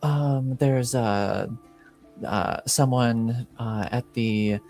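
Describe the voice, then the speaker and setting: A man's voice: mid-range voice, drawn-out words, a little mellow. Tabletop game servant, put on by a man, timid, stammering and deferential, music underneath. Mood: nervous, deferential. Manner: hesitant, stammering, obedient. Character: nervous, deferential household servant.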